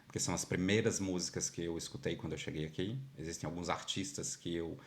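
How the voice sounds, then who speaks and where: A man's voice: deep voice, fast. A man from Minas Gerais with a Brazilian Portuguese accent, a podcast guest chatting casually and animatedly, full of fillers. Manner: animated storyteller, many fillers like "tipo" and "sabe". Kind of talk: casual interview chat.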